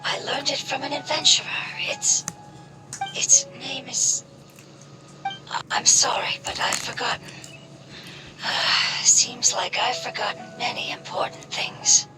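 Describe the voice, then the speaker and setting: A woman voicing a gentle, sorrowful nature spirit in a video game, speaking softly and earnestly with a breathy voice. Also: high voice, takes it slowly, recorded from a distance, rough recording. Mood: apologetic, forgetful. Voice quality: breathy, whispery. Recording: loud game music behind voice.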